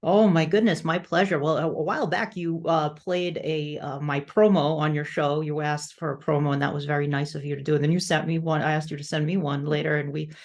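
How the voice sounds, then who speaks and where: A woman's voice: sits low, fairly even intonation, a little fast, few pauses, a little mellow. A woman hosting a podcast in casual interview chat, effusive and rambling as she goes. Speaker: podcast host.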